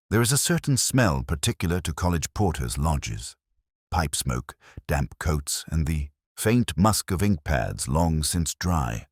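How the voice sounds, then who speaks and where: A man narrating a scripted first-person detective story in a measured, literary and reflective manner. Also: a bass voice, a little mellow, slightly rough, dry recording, studio quality.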